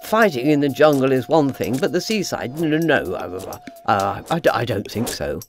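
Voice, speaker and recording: A woman's voice: a bass voice, sing-song, moderate pace, over music, exaggerated voice. A woman voicing a boastful, flustered old male cabinet in a children's story, hesitant and blustering. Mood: flustered, reluctant.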